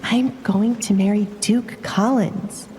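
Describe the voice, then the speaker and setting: A woman's voice: mid-range voice, steady tone, slow pace, mellow, a little hiss or hum, natural voice. A woman voicing a timid, hopeful young noblewoman with a meek, hesitant manner in a dramatized audio romance. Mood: wondering.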